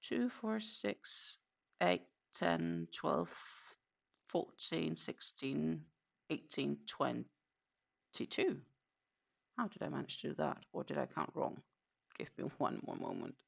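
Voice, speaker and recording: A woman's voice: low-pitched, big pitch swings, slow pace, vocal fry. A woman with a Danish accent chatting casually on a crochet live stream, counting her stitches aloud and answering viewers.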